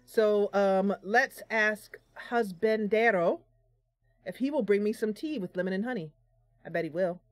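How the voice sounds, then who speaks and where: A woman doing casual live game commentary as a streamer, chatty and a bit goofy, thinking out loud as she goes. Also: medium pitch, unhurried, no room sound, studio quality, soft background music. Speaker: streamer and host of a gaming channel.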